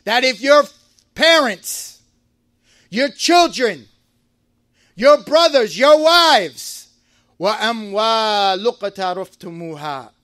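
A man giving a Friday sermon in a mosque, preaching with passion, rising to shouts and reciting Arabic verses. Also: extremely high pitch, no room sound, clean studio sound.

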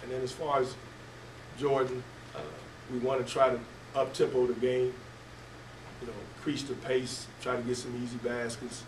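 An older man coaching basketball, answering press questions haltingly with many fillers and restarts. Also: medium pitch, completely flat delivery. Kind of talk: postgame press conference answers. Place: press room at a table before a team backdrop. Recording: echoey room, distant mic, noisy.

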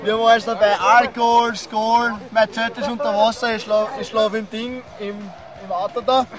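A young man with an Austrian accent, a boisterous camper talking in loud slang. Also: high-pitched, flat delivery, normal speed. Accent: Austrian German. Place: outdoor campsite. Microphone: handheld mic. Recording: outdoor crowd noise.

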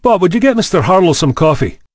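A man's voice: high-pitched, very animated, quick pace, no echo. A man acting a glib, self-assured vice chancellor in an audio drama.

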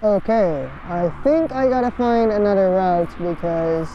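A young woman gives casual, excitable, blunt commentary with swearing, recorded on a helmet action camera while riding outdoors. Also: mid-range voice, a little slow, lo-fi sound. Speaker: motovlogger. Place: outdoors, riding an electric motorbike on roads and forest trails. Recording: noisy outdoor ride audio.

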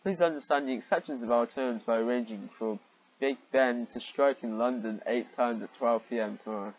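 A man with a mid-range voice reads fan fiction aloud and comments on it with weary sarcasm. He clears his throat often between phrases. The recording is dull and slightly muffled, like a cheap microphone. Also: medium pitch, normal speed. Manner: exasperated, sarcastic, sighing asides. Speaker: host and reader of fan fiction.

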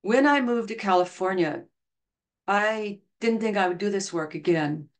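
A woman's voice: medium pitch, a little slow. A middle-aged woman giving an online lecture over slides on a video call, talking earnestly and telling stories. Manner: earnest, storytelling, reads quotes aloud. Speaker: education researcher presenting a talk. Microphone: video call webcam.

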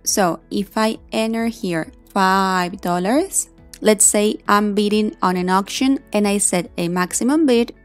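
A woman narrating a software tutorial in an instructional way, explaining step by step with casual check-ins. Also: mid-range voice. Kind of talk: instructional screen-recording narration. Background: background music.

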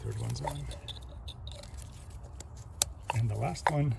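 A man giving a casual, step-by-step how-to while he works, speaking plainly and unhurriedly with pauses mid-sentence. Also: medium pitch. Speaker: DIY car repair demonstrator. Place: outdoors, beside an open car engine bay. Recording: clear background noise outdoors.

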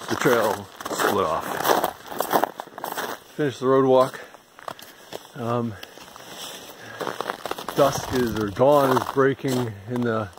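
A man's voice: mid-range voice, heavy background noise. An older man narrating his winter hike in short, plain-spoken updates, filmed on a handheld camera at arm's length on a snowy forest trail. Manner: terse, plain-spoken updates between breaths. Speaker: hiker narrating his climb. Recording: loud crunching and rustling behind.

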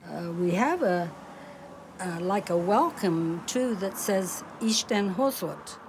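An older woman in an interview, gently explaining family pictures. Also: fairly low pitch, lively intonation, slow, background noise.

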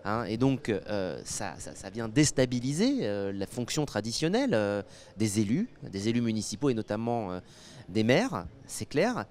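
A man in his thirties with an accent from France, giving analytical interview answers as an academic expert in a measured, halting way with many pauses. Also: fairly low pitch, very animated, a little mellow. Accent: French from France. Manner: hesitant, measured, with frequent pauses and repeated words. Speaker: guest expert, academic geographer. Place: indoor interview set at a convention. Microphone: handheld microphone.

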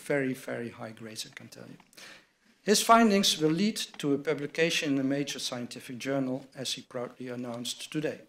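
A man's voice: medium pitch, a bit bright. An older man in a large wood-panelled hall, reading formal award citations from notes at a lectern microphone. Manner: measured, reads from a script at a lectern. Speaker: award presenter at a university ceremony. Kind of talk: formal ceremony address read from notes.

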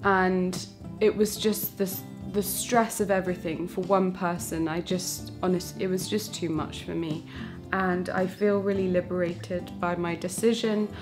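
A woman's voice: on the low side, level tone, unhurried, music in the background. A young woman in her twenties, talking casually to camera as a vlogger in her small home bakery kitchen, with lots of fillers and restarts. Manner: chatty, warm-hearted, many fillers and restarts. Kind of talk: casual vlog talk to camera.

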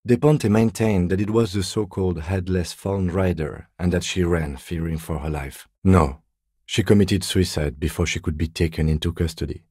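An actor playing a composed middle-aged police detective in a video game, speaking in a measured, formal, dryly polite way. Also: male voice, low voice, darkish tone, slightly rough, no room sound, clean studio sound. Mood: measured, serious. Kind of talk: dramatic game dialogue, separate voice lines.

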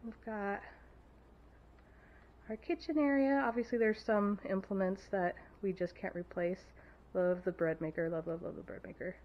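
A woman's voice: medium pitch, fairly even intonation, normal speed, dull audio. Chatty woman giving a cabin tour. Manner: chatty, filler-heavy, enthusiastic. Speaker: homestead vlogger giving a home tour. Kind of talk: casual home tour narration. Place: wood-paneled cabin kitchen, indoors.

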